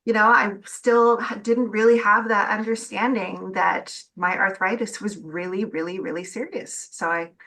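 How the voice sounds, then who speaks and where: Bright-voiced woman telling her story candidly. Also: mid-range voice, fairly even intonation, moderate pace, hardly any pauses. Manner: candid, informal, reflective. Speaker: interview guest sharing personal experience. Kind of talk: casual conversational storytelling.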